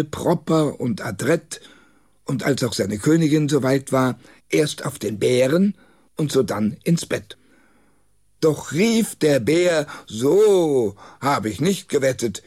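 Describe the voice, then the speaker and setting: A man reading a rhymed comic poem for children aloud, expressively and in a deliberate storytelling manner. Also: medium pitch, darkish tone. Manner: measured, deliberate storytelling delivery.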